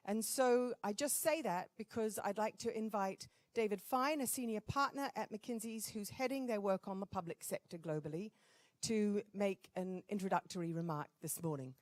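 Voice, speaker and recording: A woman dean opening a conference with a brisk, lightly joking welcome. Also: medium pitch, dry recording. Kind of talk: welcome address.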